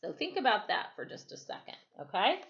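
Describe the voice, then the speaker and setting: A woman teacher reading a storybook aloud in a deliberate, dramatic way, with explanatory asides and checking questions. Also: medium pitch, huge pitch swings, a bit bright. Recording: dull, lo-fi sound.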